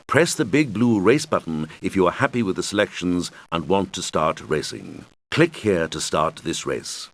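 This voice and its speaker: A suave, showy male island boss from a racing video game. His voice sits low and moves up and down with lively, animated intonation. He guides the player in a deliberate, theatrical way, like a scripted tutorial host. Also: full character voice.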